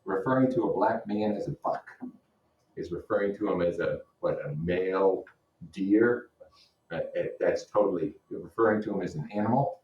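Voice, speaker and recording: A man's voice: low-pitched, moderate pace, muffled recording. A man teaching, reading a novel aloud in an informal way with blunt asides. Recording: roomy echo, distant microphone.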